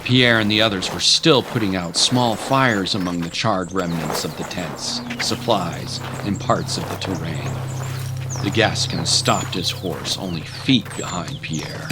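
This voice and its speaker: A man narrates a dramatic scripted tale in a low voice. He takes it very slowly, with loud music playing behind him.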